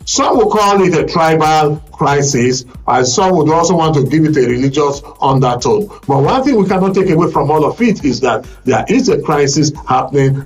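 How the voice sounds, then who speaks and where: A man with a Nigerian accent presents a current affairs programme as its host, in a deliberate, formal broadcast delivery. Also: on the high side, low-quality recording. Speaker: radio and TV talk show host.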